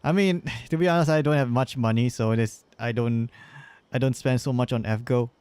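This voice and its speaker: A man's voice: mid-range voice, fairly quick, noisy background. Casual man rambling through game commentary. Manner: rambling, slangy. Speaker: streamer. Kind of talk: casual live gameplay commentary.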